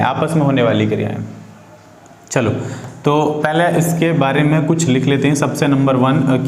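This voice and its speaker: A man with an Indian accent teaching informally at a board as a science teacher, repeating key phrases and quizzing his listeners with questions. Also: medium pitch. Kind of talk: informal board lecture for students. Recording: echoey room with faint background noise.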